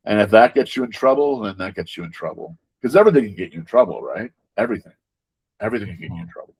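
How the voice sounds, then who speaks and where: A man's voice: on the low side, big pitch swings, very fast, husky. A man giving conversational interview answers as a game designer, emphatic, repeating words and often checking in with rhetorical questions.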